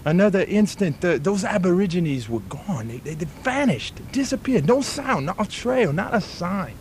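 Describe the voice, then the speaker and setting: A man's voice: sits high, very animated, a little hiss or hum. A man with a put-on Australian accent playing a stammering bushman who tells a spooky tall tale in a comic radio drama. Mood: spooky storytelling. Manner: stammering, drawn-out storytelling.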